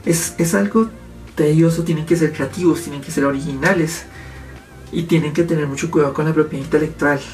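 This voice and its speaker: Male host in his thirties, fairly high voice, explaining to camera from his home office. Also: steady tone, brisk, darkish tone, soft background music. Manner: explanatory, gesturing with his hands. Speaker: YouTube host. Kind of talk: casual commentary to camera. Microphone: fixed camera, medium framing.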